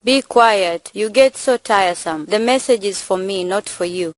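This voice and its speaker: A woman playing a vain, sharp-tongued young village beauty in a student stage drama, with a haughty manner. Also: mid-range voice, normal speed, no echo, natural voice. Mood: irritated. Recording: music under the voice.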